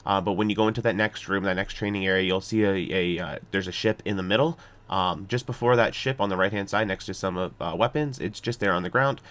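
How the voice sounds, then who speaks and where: A man narrating a video game walkthrough off the cuff, explaining steps in a somewhat halting, hesitant way. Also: low voice, a bit bright. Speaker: gaming guide host.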